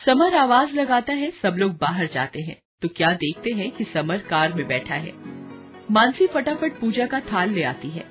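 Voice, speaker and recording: A woman narrating a scripted children's horror story as an expressive storyteller. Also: medium pitch, moderate pace, phone-quality sound. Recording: loud music under the voice.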